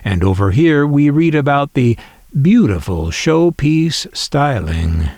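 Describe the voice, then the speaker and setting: A man hosting a collector video, calmly describing an old item and reading from its manual, with wry little asides. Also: on the high side, slow pace, no room sound, studio quality. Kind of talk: unhurried descriptive show-and-tell.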